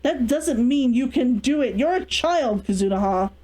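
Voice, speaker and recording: A woman performs a controlling elder sister and guardian from a storybook. She is cold and authoritative, scolding with firm emphasis. The voice sits fairly high and moves with lively inflection. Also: normal speed. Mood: stern warning.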